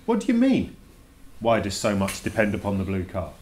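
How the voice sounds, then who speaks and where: A reluctant schoolboy, acted out by a man in a fairly low voice, reading his journal from a children's storybook. He is grudging and full of questions, pressing each protest with emphasis. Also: huge pitch swings, fairly quick, a little mellow, slight background noise. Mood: frustrated, protesting. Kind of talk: dramatic read-aloud of a children's verse novel.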